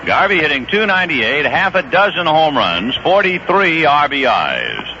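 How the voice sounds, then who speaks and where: A man doing play-by-play baseball commentary on a vintage 1970s radio broadcast, brisk and rising on big plays. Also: fairly high pitch, big pitch swings, dull audio, background noise. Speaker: play-by-play announcer. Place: ballpark broadcast booth.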